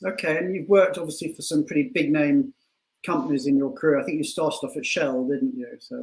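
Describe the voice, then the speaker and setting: High-pitched male host in an echoey room. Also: steady tone. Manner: halting, often repeats words mid-sentence. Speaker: show host, interviewer. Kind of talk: casual live online interview. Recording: echoey room on online call.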